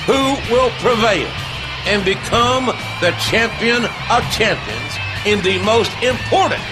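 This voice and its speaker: An actor voicing a male wrestling commentator in a video game, announcing with excited, shouting hype. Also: sits high, takes it slowly, rough recording. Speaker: play-by-play commentator. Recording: commentary voice over loud music.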